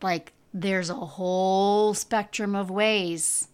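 A woman's voice: mid-range voice, fairly even intonation, slow, clean tone. A woman hosting a solo podcast, talking frankly and chattily with a froggy voice from allergies. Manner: chatty, frank, emphatic with casual swearing. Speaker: podcast host. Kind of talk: casual solo podcast monologue.

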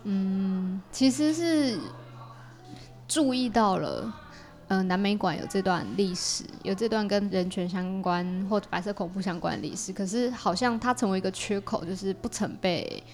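A woman's voice: medium pitch, normal speed, darkish tone, soft background music. A woman with a Taiwanese Mandarin accent chatting as a guest artist, explaining her work casually and correcting herself as she goes. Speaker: podcast guest, artist and creator of an audio drama.

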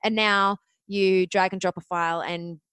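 A woman in her forties with an Australian accent, chatting as a podcast guest and telling her story in a quick, lively way. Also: mid-range voice, clean tone, no room sound. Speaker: podcast guest, media producer. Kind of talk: casual interview chat.

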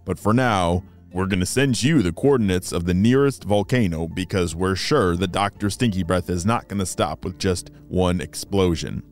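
A storyteller voicing a calm, authoritative male spy team leader who gives deliberate, reassuring instructions in a children's adventure tale. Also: low-pitched, faint music behind, pitched below the performer's own voice. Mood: calm, instructing.

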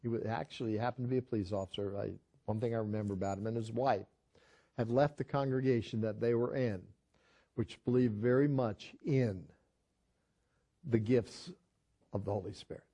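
A man's voice: mid-range voice, moderate pace, dark tone. An older man, a pastor, teaching a Bible study in a conversational sermon style, telling a story earnestly with frequent restarts.

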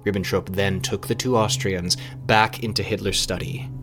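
A man's voice: lowish voice, fairly even intonation, moderate pace, fairly bright tone, soft background music. A man narrating a history podcast as a dramatic, scripted story.

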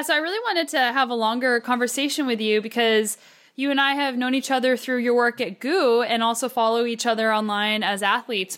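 Chatty podcast host lady, kinda high voice, really bright and clear. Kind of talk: podcast intro and conversational interviewing.

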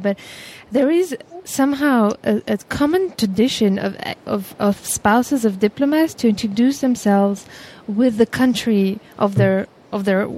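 A woman's voice: mid-range voice, mellow, rough recording. A woman hosting a podcast interview, reacting encouragingly and asking questions. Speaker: podcast host. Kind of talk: casual interview chat.

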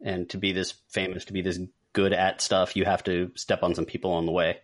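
Deep-voiced man chatting on a podcast. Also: level tone, brisk. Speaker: podcast co-host. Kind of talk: casual chat, informal reviewing.

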